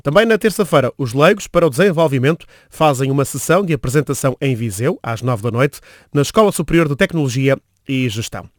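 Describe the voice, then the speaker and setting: A man with a European Portuguese accent, hosting a radio program and reading listings and closing credits in a measured, formal announcer style. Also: medium pitch, lively intonation, no room sound, clean studio sound.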